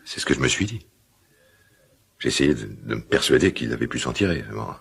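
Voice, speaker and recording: An actor playing a middle-aged father in a radio drama, guilt-ridden and awkwardly tender, speaking hesitantly in a gravelly smoker's voice. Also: rumbling low, big pitch swings, a bit bright, exaggerated voice. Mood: guilty, shaken. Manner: hesitant, halting, confiding. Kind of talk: naturalistic French radio drama dialogue.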